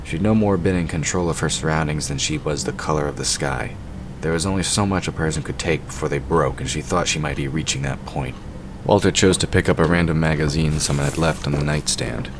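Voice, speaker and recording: A man's voice: rumbling low, brisk. A man reading scripted fantasy story narration for an audio drama. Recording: noisy hobby recording.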